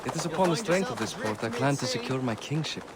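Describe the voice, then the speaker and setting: A man's voice: mid-range voice, animated, fast, a loud crowd, close to an everyday voice. An actor playing a proud, hearty middle-aged Viking king in a video game cutscene. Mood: proud, hopeful. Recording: noisy outdoor harbour and town ambience.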